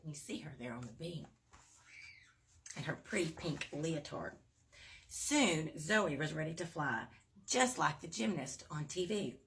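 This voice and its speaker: A woman reading a children's bedtime story aloud, with expressive emphasis and little asides to young listeners. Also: lowish voice. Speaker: storybook reader at a performing arts school.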